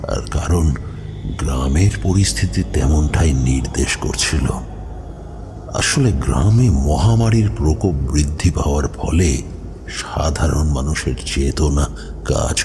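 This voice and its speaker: Man acting a very deep, gravelly tantric mystic. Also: background noise, heavily acted. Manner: ominous, deliberate, with dramatic pauses. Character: grave tantric mystic recounting his own eerie past. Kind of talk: slow dramatic horror audio-story narration.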